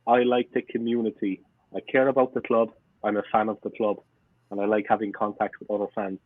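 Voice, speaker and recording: A man's voice: on the low side, flat delivery, fairly quick, dry recording. A man in his forties with a thick Cork Irish accent comes through a thin, phone-like remote line. His speech is dry and close, with no room sound. He tells his stories earnestly and says things twice to drive them home. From Cork, Ireland. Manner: earnest, repeats himself for emphasis. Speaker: podcast guest, fan channel owner. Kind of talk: casual interview storytelling.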